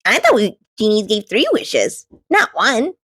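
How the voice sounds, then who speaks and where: A woman's voice: on the high side, big pitch swings, a little slow, a bit bright, breathy edge, no echo, studio quality, full character voice. A woman reading aloud and voicing a matter-of-fact young boy in a children's story.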